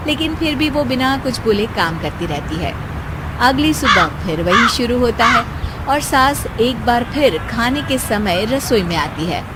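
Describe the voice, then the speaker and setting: A woman narrates a scripted moral tale as storyteller. Her voice is high-pitched, and clear urban ambience noise runs behind the reading.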